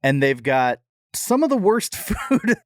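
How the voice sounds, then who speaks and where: A man's voice: on the high side, sing-song, slow, dry recording, clean studio sound. A man co-hosting a comedy podcast in casual, conversational talk. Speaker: podcast co-host.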